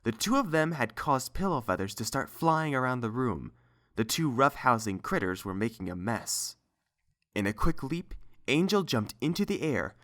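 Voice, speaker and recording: Animated audiobook narrator with lively pitch. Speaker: narrator and reader. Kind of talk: scripted story narration, audiobook.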